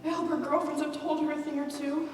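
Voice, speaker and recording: A woman's voice: high voice, little pitch movement, quick pace, natural voice. A woman playing a wistful mother on a wedding day. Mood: rueful. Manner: wistful, musing aloud. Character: anxious mother on her daughter's wedding day. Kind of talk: stage play acting. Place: stage in a large hall. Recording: echoey hall from far off, with music.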